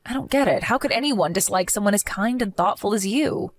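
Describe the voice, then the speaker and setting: A woman's voice: medium pitch, lively intonation, brisk, no room sound, lightly acted. A woman as an earnest, protective sister-in-law. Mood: caring. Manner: earnest, reassuring.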